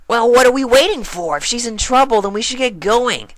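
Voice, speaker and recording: A man's voice: very high-pitched, animated, a little fast, fairly bright tone, slight background noise. A man voicing a brash, confident young female pegasus athlete in a cartoon fan story, talking casually and directly. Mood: eager.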